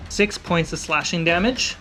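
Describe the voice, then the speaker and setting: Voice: mid-range voice, normal speed. A game master running a tabletop game, describing scenes and calling for rolls, with music under the voice.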